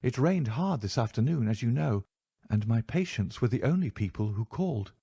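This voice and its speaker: A man voicing an earnest male doctor recounting events in a measured way. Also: medium pitch, animated, gravelly, mellow, breathy edge, no echo, pitched above the performer's own voice.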